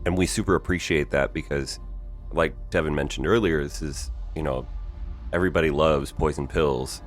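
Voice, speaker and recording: A middle-aged man co-hosting a podcast, chatting casually and appreciatively in halting phrases. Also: a bass voice, steady tone, soft background music. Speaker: podcast co-host. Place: remote online recording session.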